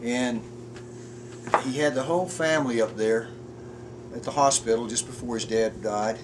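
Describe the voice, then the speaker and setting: A man in his fifties muses earnestly on a front porch, stopping and restarting as he gathers his thoughts. He sits some way from the mic, and steady outdoor noise runs behind his voice. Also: mid-range voice. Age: 50s. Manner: earnest, halting, with frequent restarts. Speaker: porch conversation guest. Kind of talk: casual reflective chat. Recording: distant mic with background noise.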